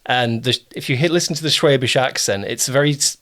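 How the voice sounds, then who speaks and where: A man from Kent with a southern English accent, hosting a conversational interview in a chatty, reassuring way and often pausing to explain things. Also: medium pitch, fairly bright tone. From Kent, southern England. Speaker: interview host, producer and director.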